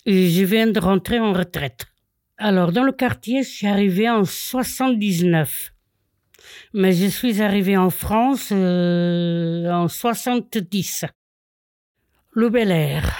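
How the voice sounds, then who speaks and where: Woman, 60s, from Portugal, resident testimony, lower voice, dry close sound, hesitant. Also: a little mellow, no echo. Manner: hesitant, many fillers and restarts. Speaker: local resident. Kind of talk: interview testimony.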